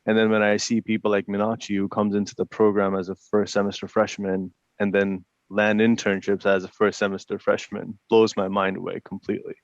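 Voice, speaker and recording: A man's voice: lowish voice, little pitch movement, fairly quick, hardly any pauses, no echo. A young man from Pakistan, a division manager, telling his story confidently over a video call. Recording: dry, dull video call audio.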